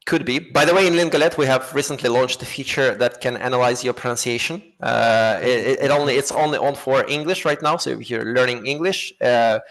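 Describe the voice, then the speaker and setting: A man speaking English, a talkative podcast guest in relaxed conversation. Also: medium pitch, a little fast, hardly any pauses, poor audio. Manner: self-described chatterbox, talkative and loose. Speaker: guest, app co-founder.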